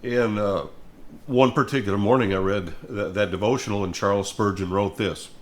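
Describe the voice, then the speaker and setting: An older man speaks in a fairly low voice. His talk is conversational and reflective. He comes across as a plainspoken, thoughtful storyteller. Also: fairly even intonation, normal speed. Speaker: music minister and host.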